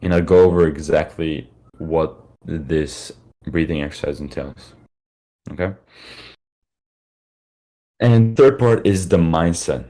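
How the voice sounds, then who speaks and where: Man, very low pitch, worn-out voice; loose, filler-heavy live lecturer. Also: slow pace, long pauses, dark tone, low-quality recording. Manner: casual, rambling, many fillers and restarts. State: tired, worn-out voice. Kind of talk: informal live lecture to listeners.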